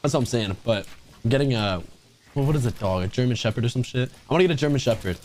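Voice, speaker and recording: A man's voice: medium pitch, animated, quick pace, darkish tone, slight background noise. A young man gaming on stream, brash and rambling, swearing often. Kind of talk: casual voice chat while gaming.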